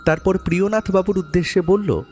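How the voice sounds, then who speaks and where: An actor playing a detective's eager companion, a man with a husky voice, narrating their case expressively in an audio story. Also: sits high, fairly quick, a little mellow, faint music behind, close to an everyday voice. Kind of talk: dramatised first-person story narration.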